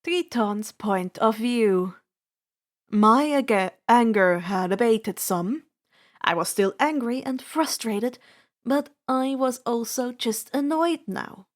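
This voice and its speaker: A woman reading fan fiction aloud as an expressive first-person narrator, with a deliberate, dramatic delivery. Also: a little high.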